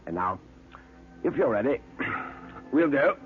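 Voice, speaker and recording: A man's voice: medium pitch, very animated, faint music behind, voice pushed up. A man voicing a chatty museum manager with macabre relish and hesitant ums, in classic radio horror style. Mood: cautionary, fussy. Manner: hesitant ums, drawn-out relish, chuckling asides. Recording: muffled narrow-band old radio recording.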